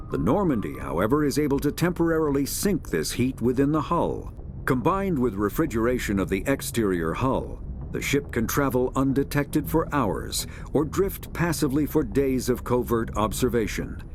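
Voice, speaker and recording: A man narrating a science fiction game's encyclopedia in a measured, formal, authoritative documentary style. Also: mid-range voice, natural voice. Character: formal male narrator of a sci-fi codex. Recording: music behind the voice. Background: clear background music.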